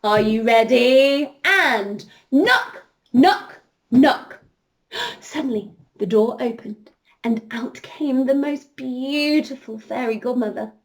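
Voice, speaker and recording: A woman storyteller leading an interactive children's story, expressive and encouraging, speaking directly to her young listeners. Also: high-pitched, slow pace. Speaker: children's storyteller, princess entertainer.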